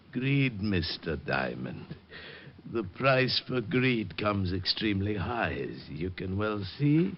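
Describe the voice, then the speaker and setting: An actor playing a wounded, dying, urbane crook in an old-time radio drama, speaking formally and deliberately in laboured theatrical tones. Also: male voice, lowish voice, sing-song, dull audio, a little hiss or hum, heavily acted. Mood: wry, resigned. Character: dying, urbane crooked dealer in stolen art, male.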